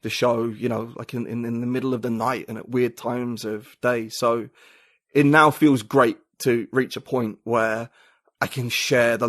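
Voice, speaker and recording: A man's voice: mid-range voice, a bit bright, no echo. Rambling man with a dry sound. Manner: rambling, full of "like" and "you know". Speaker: podcast guest. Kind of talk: casual conversation.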